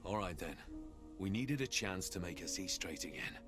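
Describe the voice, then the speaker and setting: An actor playing a weary, gruff and loyal monster hunter in a video game. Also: male voice, deep voice, very animated, moderate pace. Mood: weary, concerned. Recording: loud music underneath. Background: game music.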